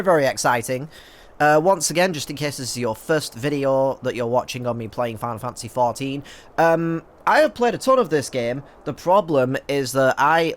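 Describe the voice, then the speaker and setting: Stuffy-nosed man doing game commentary. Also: mid-range voice. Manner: rambling, jokey, self-mocking. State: stuffy, blocked nose. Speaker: gaming video creator. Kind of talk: let's play commentary.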